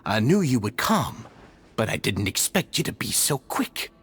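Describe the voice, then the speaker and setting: An actor voicing an arrogant, cruel male prison overseer in a video game, sneering and shouting in bursts. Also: medium pitch, very animated, moderate pace, faint music behind.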